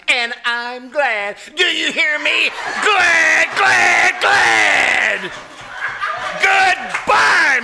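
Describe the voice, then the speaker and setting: An actor playing a fussy, lovesick mail carrier who is easily indignant, in old-time radio comedy style. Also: male voice, very high voice, gravelly, pushed and bright, exaggerated voice. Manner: fussy, exclamatory, melodramatic. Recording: noisy live stage recording.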